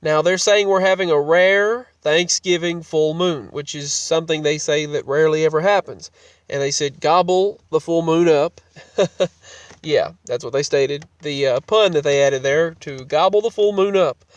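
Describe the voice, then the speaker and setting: A man's voice: a little high, normal speed, no room sound, far from the mic. A man with a cold, sounding congested, talking casually to his vlog audience and often restarting and repeating his phrases. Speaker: vlogger.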